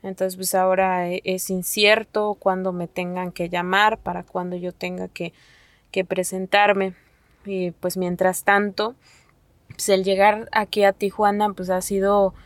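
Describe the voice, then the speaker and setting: Woman from mexico, nahuatl native, halting speech, many restarts, dry close sound. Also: fairly low pitch, no echo. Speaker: asylum seeker. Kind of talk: testimony to an interviewer.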